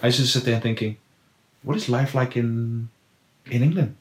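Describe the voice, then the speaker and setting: A man's voice: medium pitch, fairly even intonation, moderate pace. A middle-aged South African man whose first language is Afrikaans, telling his life story in an interview and acting out his past self with exclamations. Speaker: guest, former footballer. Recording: roomy echo.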